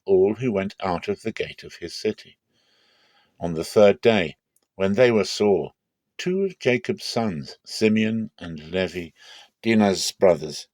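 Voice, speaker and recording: A man's voice: lowish voice, darkish tone, dry recording. A man reading scripture aloud as dramatic, scripted narration in a theatrical manner. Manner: theatrical, acts out quoted speech. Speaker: Bible reader.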